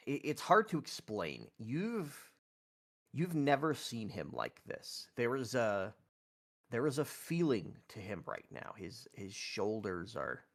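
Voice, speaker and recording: A man improvising descriptive narration in a tabletop roleplaying game, halting and repeating words as he goes. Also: lowish voice, vocal fry, fairly bright tone.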